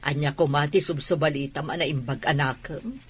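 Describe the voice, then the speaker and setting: A woman with a Filipino accent playing a prying, skeptical older wife with a raspy voice in a radio drama. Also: low-pitched, steady tone, normal speed.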